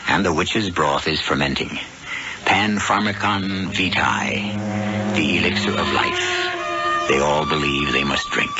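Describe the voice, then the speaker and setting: An actor as the ominous, theatrical host narrating an American radio mystery drama. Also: male voice, sits low, exaggerated voice. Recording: dull vintage radio recording, music.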